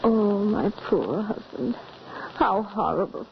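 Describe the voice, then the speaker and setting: A woman's voice: mid-range voice, takes it slowly, gravelly, background noise. A woman voicing a sly stepmother feigning horror in an old radio drama, drawing her words out. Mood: false pity. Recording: dull old radio recording.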